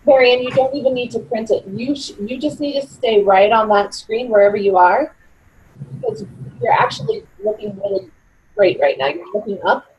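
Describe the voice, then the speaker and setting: Higher-voiced woman giving plain instructions. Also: big pitch swings, moderate pace, a little mellow, rough recording. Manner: directive, plain step-by-step guidance. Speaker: director of a remote readers theater recording session. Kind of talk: informal spoken instructions on an online call.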